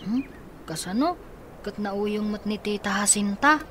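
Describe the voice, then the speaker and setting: A woman's voice: mid-range voice, unhurried, a bit bright, a little hiss or hum, close to an everyday voice. A woman playing a meek, troubled orphaned youngster in an Ilocano radio drama, plaintive and respectful.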